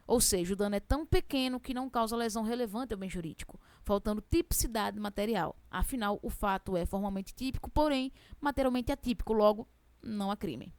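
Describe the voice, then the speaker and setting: A young woman with a strong regional accent teaching law for civil service exams, fast-talking and chatty, asking questions and answering them herself. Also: mid-range voice, darkish tone, clear, resonant tone, dry recording. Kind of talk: exam prep lesson.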